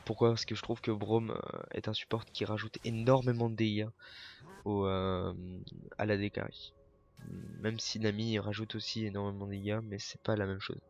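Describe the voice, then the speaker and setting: A man's voice: on the low side, little pitch movement, moderate pace, a little hiss or hum. A young man with a cold, commentating a video game match alone, hesitant, with many fillers and self-corrections. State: has a cold, coughing. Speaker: esports caster.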